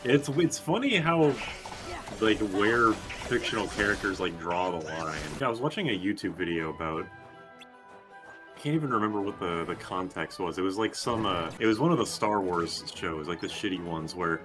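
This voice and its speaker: A man giving casual gameplay commentary in a rambling, halting, sweary way. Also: sits low. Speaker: video game streamer. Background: game music.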